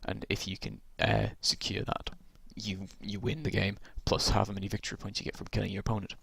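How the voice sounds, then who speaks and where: A man casually walking through tabletop game rules, rambling with lots of ums and restarts, his voice gone croaky from talking so long. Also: lowish voice, monotone, fast, a bit bright, no room sound.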